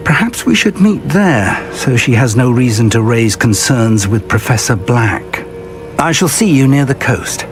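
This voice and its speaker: An actor playing an earnest middle-aged wizard professor and mentor in a video game, speaking in a deliberate, articulate, scholarly way. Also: male voice, medium pitch, lively intonation, natural voice. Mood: musing, confiding. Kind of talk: dramatic video game voice acting. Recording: music playing behind the voice. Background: game music.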